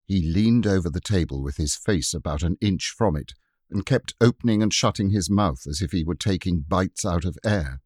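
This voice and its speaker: A man narrating a classic children's book, expressive and wryly amused, read into a close studio mic. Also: low voice, moderate pace, slightly rough, no room sound. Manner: expressive, wry. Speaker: audiobook narrator. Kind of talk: storybook narration.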